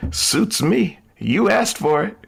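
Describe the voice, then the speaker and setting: Man playing cocky young radio-drama crook, gravelly, animated pitch, flippant, devil-may-care. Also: very slow, exaggerated voice. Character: reckless doomed young man, cocky thrill-seeking diamond thief. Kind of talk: vintage radio drama acting.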